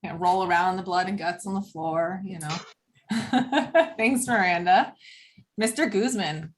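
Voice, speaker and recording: A woman's voice: on the low side, a little slow, a little hiss or hum. A woman hosting casual group introductions on a call, enthusiastic and encouraging. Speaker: host of a cast table read.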